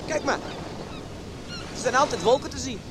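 An actor playing a serious, cautioning man in a Dutch radio play, speaking deliberately. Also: extremely high pitch, animated. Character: serious, cautioning male companion of a young woman. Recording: noisy, surf and wind behind. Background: sea waves and wind.

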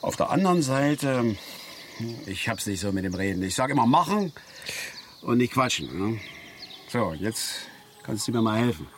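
A gruff middle-aged angler in a naturalistic radio play. He talks bluntly in colloquial, clipped phrases and lectures with suspicion. His medium-pitched voice sits in clearly audible outdoor nature noise with birds. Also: very animated, moderate pace, long pauses, fairly bright tone. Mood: lecturing.

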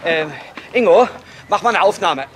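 An actor playing a brisk, bossy film director on location, prompting and instructing in a radio play. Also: male voice, sits high, animated. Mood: brisk, directing.

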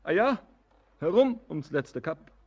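Brooding, husky-voiced melancholy sailor. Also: male voice, sits high, very animated, slow, muffled recording, full character voice. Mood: bitter, brooding. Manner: poetic, solemn, visionary declaiming. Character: melancholy, brooding male sailor, estranged from life, longing for earth. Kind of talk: theatrical German radio drama acting.

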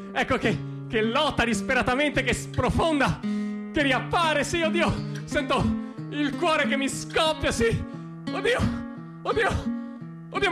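A man performing a dramatic comic stage monologue as an anxious, conflicted dreamer, halting and repeating himself in agitation. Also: very high voice, voice pushed up. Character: anxious, conflicted dreamer, a man torn between conscience and panic.

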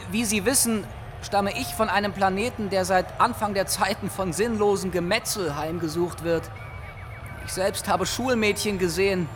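A man's voice: high-pitched, noisy background, lightly acted. A male actor playing an earnest, troubled human who asks hesitant, pleading questions in a radio drama. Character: earnest, troubled earthling man seeking answers.

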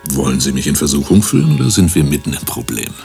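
Very deep, gravelly, theatrical professor. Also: male voice, big pitch swings, fast talker, a little mellow, faint music behind, full character voice. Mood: probing, pompous. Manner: grandiloquent, swooping delivery. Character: pompous, theatrical male professor, an insistent music-obsessed therapist. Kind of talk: German radio play acting.

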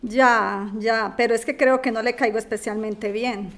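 Una mujer que interpreta a una vecina inmigrante con apuros, educada e indecisa, hablando de forma sencilla en una radionovela. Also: female voice, on the high side, steady tone, unhurried, fairly bright tone. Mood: hesitant, downcast. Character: struggling immigrant woman neighbour, polite but hesitant. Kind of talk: radio drama acting.

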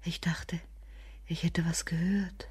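An actress playing a lonely, anxious, bitter woman in a radio drama, whispering in halting fragments. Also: lowish voice, level tone, darkish tone, breathy edge, no room sound, full character voice. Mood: anxious, pleading. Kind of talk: literary radio drama monologue and dialogue.

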